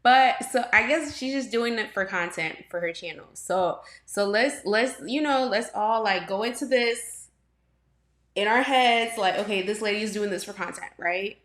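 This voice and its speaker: A woman's voice: medium pitch, normal speed, fairly bright tone. Chatty young woman in a roomy space. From Trinidad and Tobago. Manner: chatty, rambling, many fillers and restarts, frequent laughs. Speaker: reaction video host. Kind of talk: casual reaction commentary. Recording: roomy echo.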